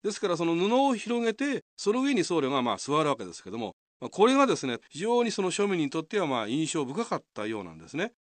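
A man's voice: on the high side, very animated, fast, few pauses, no echo. A man hosting, explaining a folk tale in a polite, conversational way. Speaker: host.

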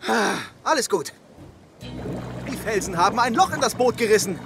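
A man playing an excitable, clumsy sea captain in a theatrical, flustered way for a children's audio play. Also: high voice, normal speed, vocal fry, music in the background. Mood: self-soothing, worried. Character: excitable, clumsy old-salt sea captain, panicky and grateful.

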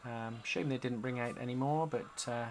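A man giving a casual, halting walkthrough as a hobbyist showing off his gear. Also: medium pitch. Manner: halting, pausing between short phrases. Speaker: CB radio hobbyist presenting a product demo. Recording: home camcorder with noticeable background noise.

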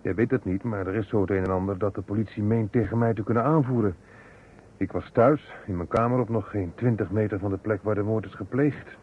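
An actor plays a wry, composed suspect in a vintage radio drama. His low voice is understated and slightly hesitant. The recording is thin, with faint noise. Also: fairly quick, phone-quality sound, natural voice. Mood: wry, resigned. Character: wry, composed male murder suspect and amateur helper. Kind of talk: old Dutch radio drama acting.

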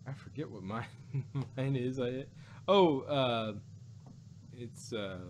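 A man casually discussing an audio drama as a review host, in a halting, rambling way. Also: mid-range voice, a little hiss or hum.

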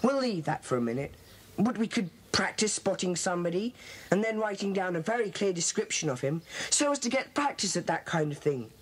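Husky, bossy schoolboy. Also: female voice, medium pitch, sing-song. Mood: earnest, organising. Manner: brisk and authoritative. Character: bossy, earnest schoolboy club leader. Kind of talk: children's audio drama.

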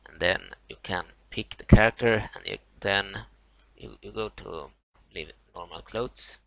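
Swedish man, non-native English, low gravelly voice, halting tutorial narration with lots of fillers, close and dry. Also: pushed and bright, no room sound, low-quality recording. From Sweden. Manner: halting, many fillers and restarts. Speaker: tutorial maker. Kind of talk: screen-recorded software walkthrough.

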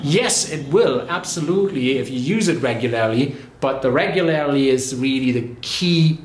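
A man's voice: mid-range voice, animated, normal speed. A man in his thirties talking casually to the camera about fitness, explaining with frequent "you know" and self-corrections, recorded in a home gym. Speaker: fitness vlogger. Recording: echoey room.

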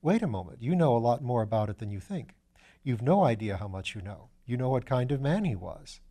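A man reading a storybook as a calm, courteous gentleman detective, asking patient, gently coaxing questions in a measured way. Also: mid-range voice. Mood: quietly triumphant.

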